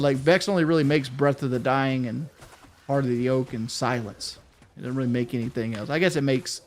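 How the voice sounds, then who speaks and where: A middle-aged man rambles through a casual gaming livestream, cursing freely and tripping over his words when his thoughts run ahead. His pitch sits in an ordinary middle range. A faint hum of room ambience stays under his voice. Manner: rambling, profane, stutters when thinking fast. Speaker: gaming streamer.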